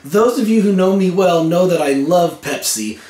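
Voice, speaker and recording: Hoarse man with a cold, echoey room. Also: high-pitched, expressive, normal speed, a little mellow. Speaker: vlog host. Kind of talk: casual vlog talk to camera. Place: rented room at home.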